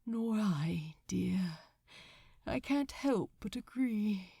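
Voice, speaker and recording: A woman voicing a gentle, injured male angel, sorrowful, in a rough, breathy near-whisper. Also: mid-range voice, expressive, extremely slow, no room sound, clean studio sound, full character voice. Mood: resigned.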